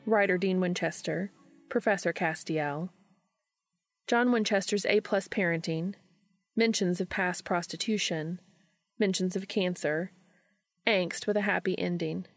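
A woman narrates a story in audiobook style. Her pitch sits a little low and carries some gravelly fry. The sound is dry, with very little room in it. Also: a little slow, pauses often, dry recording, soft background music. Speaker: fan fiction reader.

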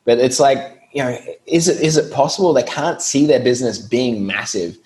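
An Australian man, a guest on a podcast, talking through his business in a relaxed, explanatory way and laughing easily. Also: mid-range voice, moderate pace. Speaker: guest, SEO business owner. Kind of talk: casual podcast interview.

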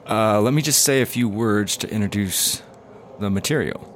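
A formal, hesitant radio producer played by a man in an audio drama. His voice is low and polite, and there is clear background noise behind it. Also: normal speed, lightly acted. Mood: apologetic, polite. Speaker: interviewer. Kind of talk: acted documentary interview.

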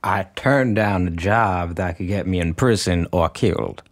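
A man's voice: deep voice, unhurried. An actor playing a stubborn man in a period radio drama. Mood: firm. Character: stubborn man named Eugene.

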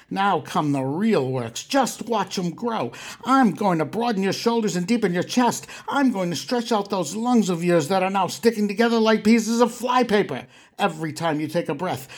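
A man playing a boastful, exhorting 1920s mail-order muscleman, delivering a vintage advertisement. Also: high-pitched. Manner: exhortatory, boastful huckster patter. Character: brash 1920s mail-order muscleman pitching body-building to weaklings.

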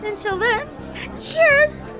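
High, swooping young flapper socialite. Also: female voice, expressive, drawn-out words, loud music behind the voice, exaggerated voice. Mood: breezy, flippant. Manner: breezy, teasing, theatrical. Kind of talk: period radio drama dialogue.

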